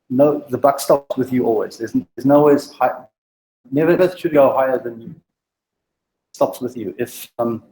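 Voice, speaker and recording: A man's voice: medium pitch, warm tone, low-quality recording. A man giving a direct leadership talk to a live group, stuttering, with many ums and restarts. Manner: halting, with frequent ums and restarts; direct, exhorting. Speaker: meetup speaker on leadership. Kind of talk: informal presentation to a live group.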